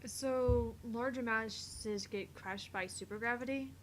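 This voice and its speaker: A woman's voice: on the high side, takes it slowly, slight background noise. A woman doing an acted reading of dialogue from a visual novel game. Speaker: voice reader in a visual novel playthrough.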